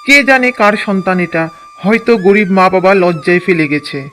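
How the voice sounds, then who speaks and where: A man voicing a gentle, earnest village husband in a cartoon, with faint music behind. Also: high-pitched, moderate pace. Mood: tender. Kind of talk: cartoon dub acting.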